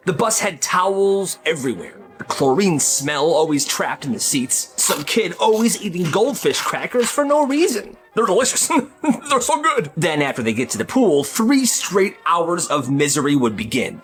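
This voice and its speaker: Man, comedic short-video storyteller, high voice, very lively delivery, music underneath. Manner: exaggerated, acts out characters' lines.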